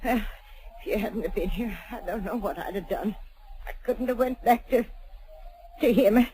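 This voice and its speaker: A woman's voice: medium pitch, moderate pace, dark tone, husky, natural voice. A woman playing a cantankerous backwoods grandma. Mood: desperate. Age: older. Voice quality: drawling backwoods speech. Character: cantankerous old mountain grandma with a gun. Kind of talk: 1940s radio acting. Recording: noisy old radio recording.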